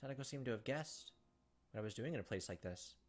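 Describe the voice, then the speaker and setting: A man's voice: medium pitch, lively intonation, quick pace. A man hosting a let's play, reading game text aloud dramatically with casual asides. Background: game music.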